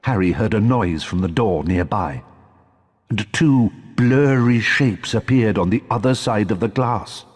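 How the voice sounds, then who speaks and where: A man's voice: lowish voice, measured pace. A man reading scripted storybook narration in a recording with long reverb.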